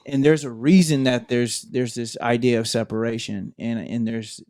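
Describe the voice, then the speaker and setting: American male podcast host, average pitch, earnest and reflective in an informal discussion. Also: steady tone, normal speed, a little mellow. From United States.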